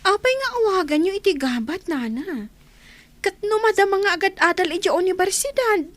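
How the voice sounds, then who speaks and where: A woman acts an earnest young villager fretting through a radio drama. The voice is high and plaintive, with an Ilocano accent. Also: lively intonation, normal speed, no room sound. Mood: explaining. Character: worried young village companion waiting at the hospital.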